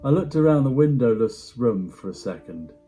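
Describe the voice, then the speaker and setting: A man giving a dramatic first-person story reading in a hushed, measured way with deliberate pauses. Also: mid-range voice, big pitch swings, soft background music. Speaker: amateur actor performing a story reading.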